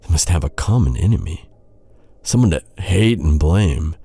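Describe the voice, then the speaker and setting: A man playing an earnest, anguished knight in a dark fantasy audio roleplay, speaking gravely in halting, formal, old-fashioned phrases. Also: deep voice, animated, dry recording, exaggerated voice. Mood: dismayed. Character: earnest, anguished male knight confronting a captured princess.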